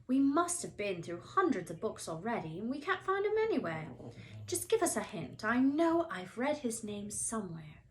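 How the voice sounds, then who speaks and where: A woman voicing an eager, cheeky young schoolboy in a storybook, talking in a bright, quick, pleading way. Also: fairly high pitch, very animated, a little hiss or hum.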